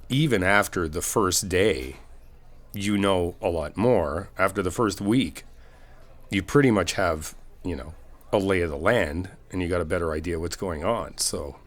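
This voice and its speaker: A man acts out an easygoing male best friend with a low voice. He talks in a casual, conversational way, reassuring and supportive, rephrasing as he goes. It is a one-sided ASMR comfort roleplay, spoken to a single friend. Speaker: audio roleplay performer.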